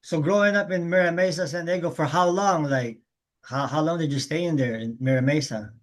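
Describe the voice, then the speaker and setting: A male host running a casual interview in heavy slang. His questions come out loose and halting, with some restarts. His voice sits slightly high.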